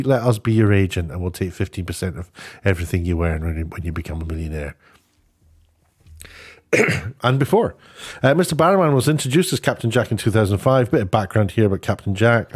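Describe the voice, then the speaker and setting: Guy with a lowish voice chatting through the news off the cuff, opinionated, keeps rewording stuff. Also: a little mellow. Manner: rambling, opinionated, often rephrasing himself. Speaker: online video presenter.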